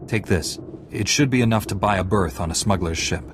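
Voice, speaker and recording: An actor playing a steady, principled Jedi knight in a video game, speaking in a measured, firm manner. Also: male voice, on the low side, background noise, lightly acted. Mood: grave, compassionate. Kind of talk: dramatic video game acting.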